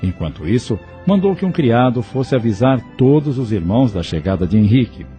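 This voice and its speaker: A man's voice: medium pitch, sing-song, a little fast, dark tone. A man narrating an audio novel in a scripted, dramatic storytelling style. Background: faint music.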